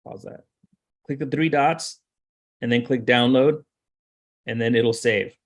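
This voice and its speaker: Man walking through a software tutorial. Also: mid-range voice, unhurried. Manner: explanatory, step-by-step, repeats points for emphasis. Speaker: software trainer giving a demonstration. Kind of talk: informal screen-share tutorial.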